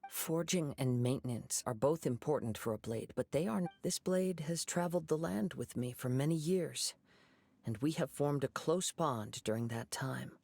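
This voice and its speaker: A man voicing a calm, principled young wandering samurai in a video game, speaking in measured, formal, reflective sentences. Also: medium pitch, vocal fry. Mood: composed, solemn.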